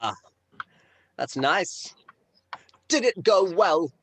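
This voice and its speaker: A man playing a friendly, excitable, tipsy bandmate in a script table read, breezy and exclaiming. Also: on the high side, extremely slow, a little hiss or hum, full character voice. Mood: breezy, cheerful. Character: friendly, excitable, tipsy bandmate James, smoking outside pub.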